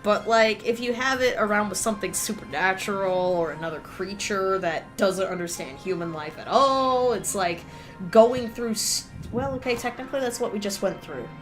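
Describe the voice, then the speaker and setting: A woman's voice: mid-range voice, expressive, moderate pace, faint music behind. A woman giving casual, rambling, sarcastic commentary as she streams a game and reads its text aloud. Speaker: streamer, host.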